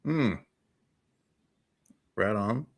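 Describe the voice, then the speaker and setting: A man's voice: lowish voice, extremely slow, vocal fry, no room sound. A man co-hosting a casual chat show, talking in laid-back, slangy bro style. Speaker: co-host.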